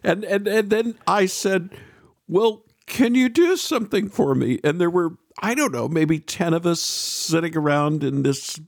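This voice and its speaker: A man's voice: high-pitched, animated, unhurried, vocal fry, no echo, clean studio sound. A man hosting a faith podcast, delivering introductions and interview questions in a radio style.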